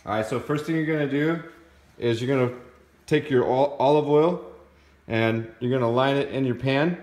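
A man's voice: mid-range voice, normal speed, a little mellow. A man in his thirties giving a casual, informal how-to talk to a phone in a home kitchen, plain-spoken like a fitness vlogger. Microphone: phone at arm's length.

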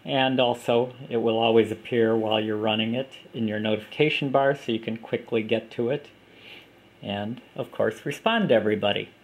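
A man giving a plain, unhurried walkthrough of an app, recorded on a camera mic indoors at a table. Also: fairly low pitch, fairly even intonation, slight background noise. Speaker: app developer demonstrating his app. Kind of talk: casual product demo.

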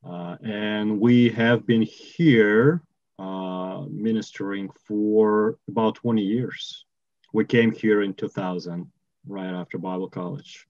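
Russian guy talking slow over a video call, low-ish voice, dull audio. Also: fairly even intonation, darkish tone, dry recording. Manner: slow, careful, many pauses and fillers. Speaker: missionary. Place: home room with bookshelves, indoors.

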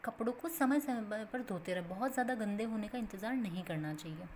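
A woman teaches a home science class, explaining each point slowly and plainly and restating it for the students. Her voice sits at a medium pitch. A faint noise runs under the recording. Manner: steady explanatory, repeats points in plain steps, mixes English terms. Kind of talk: explaining a textbook page as a lesson.

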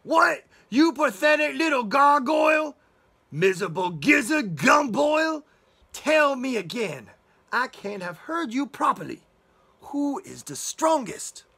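A man voicing a vain, bullying wolf in a read-aloud storybook, booming and gloating. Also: lively intonation, measured pace, pushed and bright, heavily acted. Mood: furious. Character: boastful big bad male wolf, vain bully.

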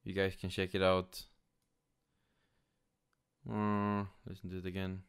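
A man from Sweden with a Swedish accent, giving casual, somewhat halting gaming news commentary. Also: deep voice, completely flat delivery, clean tone. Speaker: gaming YouTuber. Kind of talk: casual news commentary, partly reading aloud.

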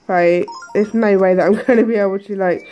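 A woman hosting a let's play, chatty and hesitant with lots of ums, her voice a bit croaky from a cold. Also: mid-range voice, steady tone, brisk, warm tone, faint music behind. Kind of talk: casual game commentary. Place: indoors at a table.

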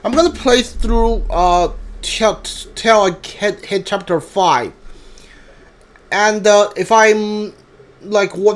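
High-pitched man rambling through a game stream. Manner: hesitant, repetitive, rambling. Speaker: game streamer talking to live chat. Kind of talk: casual live gameplay commentary.

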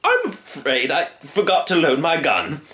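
A man's voice: a little high, wildly swooping pitch, unhurried, pushed and bright, reverberant, pitched above the performer's own voice, full character voice. A man voicing a pompous, alarmist hunter from a storybook, speaking in an emphatic, exclamatory way. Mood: embarrassed. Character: blustering, alarmist, pompous male lion hunter.

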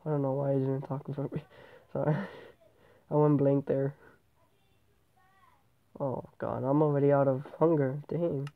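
A man's voice: mid-range voice, level tone, unhurried, gravelly, long pauses. A male gamer with a stuffy nose from a cold, rambling through casual game commentary and often correcting himself. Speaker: gameplay channel host. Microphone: tablet microphone. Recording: tinny, phone-like tablet recording.

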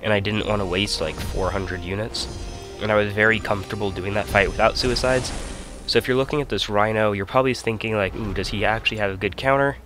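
A young man with a somewhat low voice, congested from a stuffy nose, talks through his game fights in an easy, rambling way, often correcting himself mid-sentence. Loud game music runs beneath his voice-over. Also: quick pace. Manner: relaxed, rambling, self-correcting. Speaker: gaming channel host. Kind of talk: casual gameplay commentary.

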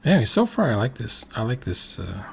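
A man's voice: medium pitch, big pitch swings, fairly quick, gravelly, slight background noise. A man giving casual running commentary as a reviewer of a game session. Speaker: reaction commentator.

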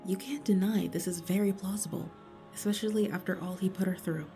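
A woman's voice: mid-range voice, fairly even intonation, a little fast, dark tone, faint music behind, lightly acted. A woman acting a suspicious, wry police investigator in an audio drama, matter-of-fact and dry.

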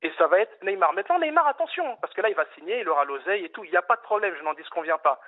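Male caller, fast and insistent, slightly high voice, tinny phone line. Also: expressive. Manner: insistent, lots of fillers and restarts. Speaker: radio caller. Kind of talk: animated phone-in football debate. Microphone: telephone.

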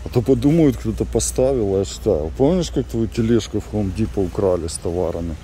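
Deep, dark-voiced man vlogging in a store. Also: a little fast, slight background noise. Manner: chatty, explains to viewers. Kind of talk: casual vlog chat while shopping. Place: large warehouse store, indoors. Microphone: handheld camera.

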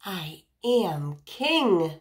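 Very slow, lively woman reading to children. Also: fairly low pitch. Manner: deliberate, simple phrasing, asking young listeners questions. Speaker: teacher reading a picture book to children. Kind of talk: children's read-aloud, pointing out and explaining.

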